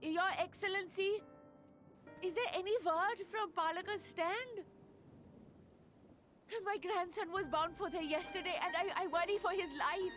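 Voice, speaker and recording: Anxious grandmother, fantasy game. Very high, faltering, pleading. Light score underneath. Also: a little slow, long pauses, fairly bright tone, faint music behind. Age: older.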